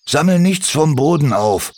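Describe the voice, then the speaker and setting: A man's voice: medium pitch, a little slow, pitched above the performer's own voice, close to an everyday voice. A man voicing a male kobold in a children's story, talking in a stern, lecturing way.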